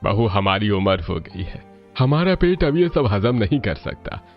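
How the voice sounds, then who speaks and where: A man's voice: lowish voice, sing-song, a little slow, lo-fi sound, soft background music. A man playing an elderly, mild father-in-law in a family cartoon, chiding yet forgiving. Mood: protesting.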